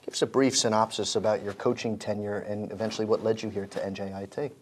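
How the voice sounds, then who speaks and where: Casting note: male sports-interview host, slightly low voice, friendly and chatty. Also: little pitch movement, quick pace. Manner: upbeat, conversational questions.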